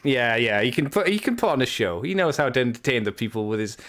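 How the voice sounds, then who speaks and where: A man's voice: medium pitch, big pitch swings, fast talker, fairly bright tone. A man hosts a loose podcast chat with a mid-range voice. He jokes and teases his guest, and he swears freely as he goes. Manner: joking, swears freely, teasing. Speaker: podcast host. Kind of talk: casual chat.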